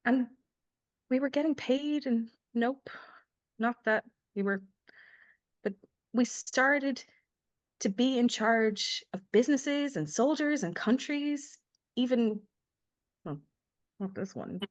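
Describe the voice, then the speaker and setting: Woman acting high-pitched, emotionally volatile sardonic mother. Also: animated. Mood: bitter, weary. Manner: sarcastic, halting when upset, emphatic. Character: Lily, sardonic young mother, anguished, fiercely emotional. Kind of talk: live screenplay table read, dramatic acting. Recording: dull lo-fi audio.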